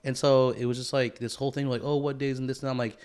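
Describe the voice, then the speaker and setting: A man's voice: medium pitch, flat delivery, quick pace, darkish tone, clear, resonant tone. A young man from co-hosting a podcast, chatting in crude, swearing banter and laughing often. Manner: laughs a lot, swears freely. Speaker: podcast co-host.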